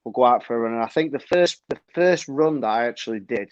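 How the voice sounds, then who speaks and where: Yorkshire man, 30s, relaxed rambling guest, mid-pitched voice, dry and muffled lo-fi audio. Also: fast, dry recording. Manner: relaxed, rambling, many fillers and sort ofs. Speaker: guest trail runner. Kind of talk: casual interview chat. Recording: dry, dull lo-fi stream audio.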